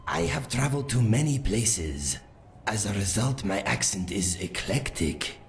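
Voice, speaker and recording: A man playing a well-travelled adventurer in a video game, with a mixed foreign accent and measured, formal phrasing. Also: on the low side, sing-song, vocal fry, slight background noise, full character voice. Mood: dry, measured. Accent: eclectic, mixed foreign. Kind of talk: video game character dialogue.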